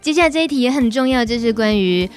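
A woman's voice: fairly high pitch, animated, quick pace, soft background music. A woman with a Taiwanese Mandarin accent hosting a chatty radio question-and-answer show. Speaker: radio host.

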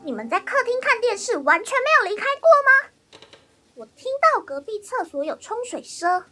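A woman acts out a young female student caught up in a radio mystery. She is confrontational and accusing, with an extremely high voice that swings widely in pitch. Music plays behind her. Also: very animated, moderate pace, bright, forceful tone, exaggerated voice. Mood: defensive. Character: combative, sharp-tongued young female student suspect. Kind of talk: mystery radio drama acting.

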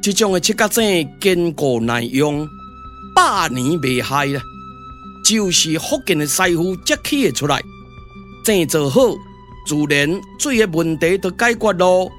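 A performer with a Taiwanese accent voicing a calm, confident male military strategist in a glove-puppet radio drama, in a measured theatrical manner. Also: medium pitch, faint music behind. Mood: calm, assured.

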